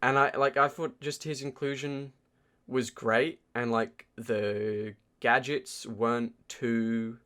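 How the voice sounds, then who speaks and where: A man talks as a podcast co-host in a loose, informal film review. His voice sits at an average pitch, and he works out his opinions aloud as he goes. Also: fairly even intonation, slow, fairly bright tone, smooth. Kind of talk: casual film review chat.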